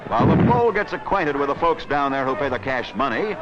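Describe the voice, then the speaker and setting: A man's voice: medium pitch, expressive, brisk, highs cut off. Noisy old newsreel soundtrack, guy doing wisecracking 1940s wrestling commentary, voice swoops all over. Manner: wisecracking, theatrical, slangy.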